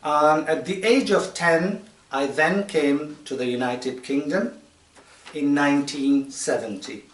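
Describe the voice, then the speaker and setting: A man in his fifties from Tanzania, speaking to a group of children in a measured, explanatory way with pauses and fillers. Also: a little high. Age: 50s. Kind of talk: informal talk to children. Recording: echoey room, distant mic.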